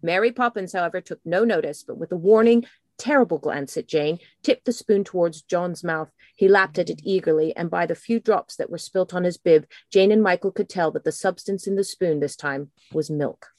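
An American woman in casual podcast chat who also reads a book aloud with animated storytelling. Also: on the low side, moderate pace, dry recording.

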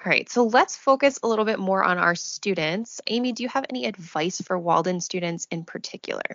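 A woman hosting a relaxed discussion about learning to write in a second language, speaking in a thoughtful, measured way with light laughs. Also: mid-range voice, dry recording, lo-fi sound. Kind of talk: conversational discussion.

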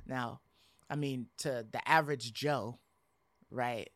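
A man's voice: mid-range voice, steady tone, slow, fairly bright tone, no room sound. Casual, slangy man on a gaming podcast. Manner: slangy, sweary. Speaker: podcast co-host.

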